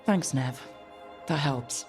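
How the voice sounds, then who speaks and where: A woman's voice: extremely low pitch, takes it slowly, a little mellow, soft background music. A woman playing a young rogue hero in a video game, casual, direct and wry. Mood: curious, dry. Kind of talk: video game character dialogue.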